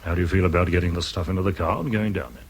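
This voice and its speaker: An actor playing a kindly, avuncular officer in a radio drama, speaking with encouraging concern. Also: male voice, deep voice, steady tone, racing, slightly rough. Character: kindly, solicitous male officer in charge.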